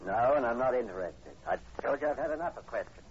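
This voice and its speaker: Actor as a hostile, snapping middle-aged farmer, muffled old radio. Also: male voice, medium pitch, lively intonation, fast, natural voice. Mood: hostile, threatening. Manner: curt, irritable, threatening. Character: hostile, reclusive, ill-tempered farm landowner in his forties. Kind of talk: 1940s radio horror acting. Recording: dull, noisy old radio broadcast recording.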